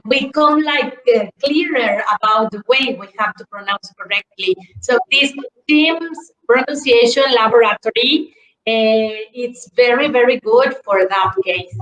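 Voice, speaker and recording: A woman's voice: a little high, a bit bright, roomy sound, rough recording. A woman from Mexico who is not an English speaker, an English teacher explaining things at an online conference with fillers and repeated words, heard over a video call.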